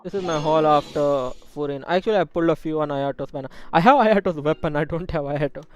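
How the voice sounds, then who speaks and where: A man's voice: a little high, fast talker. A man casually commenting as he streams a game, chatting and muttering asides as he plays. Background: faint game music.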